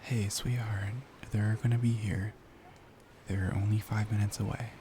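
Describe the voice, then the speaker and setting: A man playing a caring, worried boyfriend in an intimate ASMR roleplay, speaking softly and soothingly, often near a whisper. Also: lowish voice, little pitch movement, unhurried, background noise, natural voice. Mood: reassuring, tender. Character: caring, worried young boyfriend comforting his partner.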